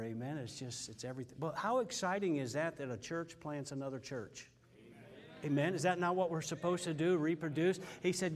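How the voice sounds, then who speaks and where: Folksy man in his sixties, preaching. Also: fairly high pitch. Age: 60s. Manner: folksy, chatty, frequent fillers and amens. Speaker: visiting missionary preacher. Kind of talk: informal church testimony. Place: church sanctuary.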